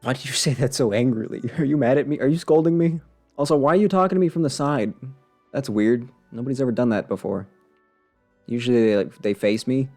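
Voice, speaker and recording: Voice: medium pitch, fairly quick, dark tone. Streamer chatting over a game, playful n dry, sarcastic, music behind. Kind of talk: casual live gameplay commentary.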